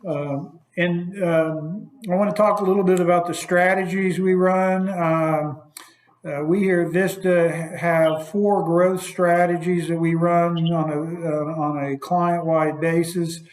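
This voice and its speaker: A man's voice: a little high, little pitch movement, slow pace. Investment advisor man, roomy echo. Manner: loose and unscripted, many "uh" fillers and restarts. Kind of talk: conference call market outlook.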